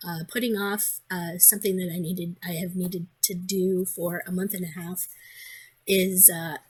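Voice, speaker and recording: A woman with a hoarse, congested voice, chatting casually in a crafting vlog, rambling with many ums and restarts. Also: on the low side, flat delivery, measured pace. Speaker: crafting vlogger.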